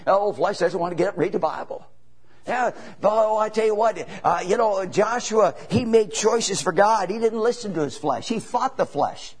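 A man's voice: high-pitched, husky. A man in his seventies preaching a sermon as a traveling evangelist, folksy and repetitive, building to emphatic bursts. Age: 70s. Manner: preaching with rising emphatic bursts and drawn-out pauses; folksy, repetitive, peppered with "you know" and "amen".

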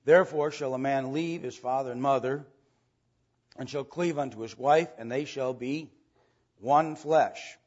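A man teaching an informal Bible lesson to a church group, with halting delivery and frequent pauses to clear his throat. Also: medium pitch, darkish tone. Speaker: church Sunday school teacher. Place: church room with a group present. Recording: dull, lo-fi church recording.

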